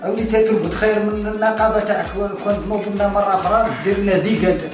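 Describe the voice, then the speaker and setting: A man with an Algerian accent playing the brisk chairman of a comic mock meeting, calling on speakers and repeating his approval. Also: high voice, fairly even intonation, close to an everyday voice. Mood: businesslike. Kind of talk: comedy sketch dialogue. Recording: roomy echo with music behind.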